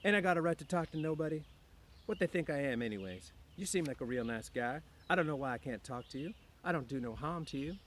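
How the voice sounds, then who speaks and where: A man's voice: fairly high pitch, animated, quick pace, a bit bright, slight background noise, pitched above the performer's own voice. A man voicing a young, dreamy, discontented small-town wife with rambling, slangy speech in an audiobook. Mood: indignant. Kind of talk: audiobook character voice.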